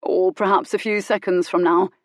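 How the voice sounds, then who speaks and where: A woman doing an icily polite, menacing gentleman. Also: on the low side, steady tone, normal speed, no echo, studio quality, close to an everyday voice. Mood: icy menace.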